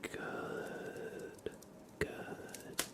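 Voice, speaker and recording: A man hosting an ASMR livestream in a breathy whisper, speaking gently with playful mock-seriousness as he acts out little roleplays. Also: very slow. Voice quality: breathy whisper, mostly unvoiced.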